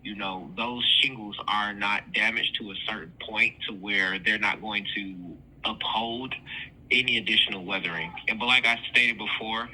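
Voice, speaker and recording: Male insurance adjuster, formal phone manner. Lowish pitch, hard piercing tone, firm, repetitive delivery. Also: bright, forceful tone, slightly rough, low-quality recording. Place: phone call.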